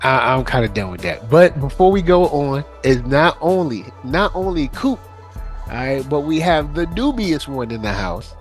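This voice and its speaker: A laid-back man co-hosts a casual podcast, with a voice that rises and falls a lot. His pitch sits a little high, and faint music plays behind him. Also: very animated, normal speed. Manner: laid-back, introduces guests. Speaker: podcast co-host. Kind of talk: casual chat.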